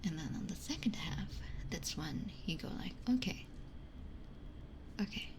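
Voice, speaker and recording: A woman streamer whispering in a breathy, conversational ASMR style, talking reflectively close to a binaural microphone. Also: sits low, animated, measured pace. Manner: reflective, conversational, repeats phrases for emphasis. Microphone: close binaural ear microphone.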